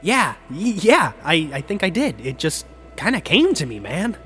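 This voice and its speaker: A man voicing Gil, an excitable, dramatic male skeleton stage actor in a storybook reading. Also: on the high side, moderate pace, soft background music, voice pushed up. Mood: sheepish. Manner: gushing, dramatic, slips into hard-boiled tough-guy drawl. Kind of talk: storybook character voice.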